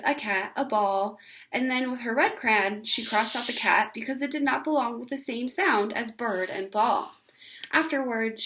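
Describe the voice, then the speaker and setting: High-voiced woman reading a children's story. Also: fairly bright tone. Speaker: read-aloud narrator. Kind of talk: expressive children's story narration.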